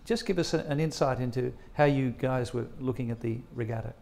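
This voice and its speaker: A man's voice: medium pitch, brisk, creaky, darkish tone. An older man with an Australian accent, interviewing guests on stage as the host of an evening event.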